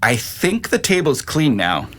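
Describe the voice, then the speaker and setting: A family man in a naturalistic audio drama. He is plain-spoken and questioning, loyal but doubtful, with a lively, moving pitch. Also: unhurried, soft background music, full character voice. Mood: easygoing.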